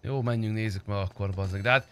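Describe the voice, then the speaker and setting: A man's voice: lowish voice, steady tone, fairly bright tone, a little hiss or hum. A young man streaming a roleplay game, chatting casually with crude, teasing banter and plenty of swearing. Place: indoor room, streaming setup.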